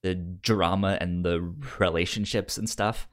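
A man co-hosting a casual book discussion, halting and often stammering over repeated syllables and words. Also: deep voice, fairly even intonation. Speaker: podcast co-host discussing comics.

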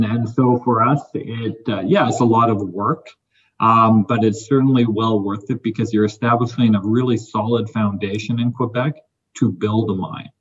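Mining executive, male, measured and businesslike, low voice, lo-fi recording. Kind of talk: panel discussion.

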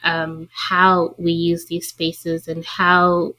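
Woman, interviewer, somewhat low pitch, conversational academic discussion, dry close sound. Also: completely flat delivery, extremely slow, pushed and bright, no echo, low-quality recording.

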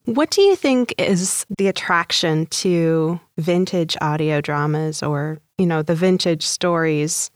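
A woman's voice: low-pitched, takes it slowly, few pauses, dry recording, clean studio sound. A woman co-hosting a podcast in a casual, chatty style. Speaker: podcast co-host. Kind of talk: casual chat.

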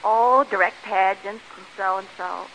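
A woman's voice: mid-range voice, steady tone, measured pace. A woman from Ohio giving short answers as a contestant on an old radio game show. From Ohio, United States. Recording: noisy old radio broadcast.

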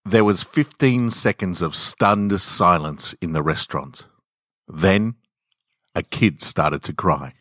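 Male story narrator: low register, unhurried measured delivery, dramatic scripted prose, very dry sound. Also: a bit bright, no room sound. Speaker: podcast host reading short stories.

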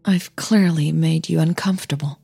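A woman reader voices a sincere, forward female singer in an audio drama. Her voice is soft and very breathy. She talks kindly and reassuringly, with faint music behind her. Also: deep voice, fairly even intonation, moderate pace, heavily acted. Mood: apologetic. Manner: warm, reassuring.

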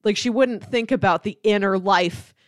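A woman's voice: mid-range voice, normal speed, no echo. Female podcast co-host, conversational and analytical, emphatic asides, dry acoustic. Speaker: podcast co-host discussing a film. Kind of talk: casual conversational film analysis.

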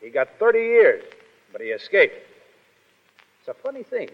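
Brash legionnaire, swooping, animated delivery. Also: male voice, high voice, normal speed, husky, full character voice. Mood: defiant. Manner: blunt, slangy, insolent. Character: brash, defiant American-style Foreign Legion soldier, cynical. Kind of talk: 1930s radio drama acting. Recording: muffled 1930s radio broadcast, hall reverb.

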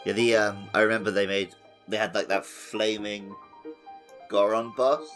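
A man's voice: fairly low pitch, steady tone, moderate pace, fairly bright tone, soft background music. A man hosting a podcast, chatting casually about video games. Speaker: podcast host.

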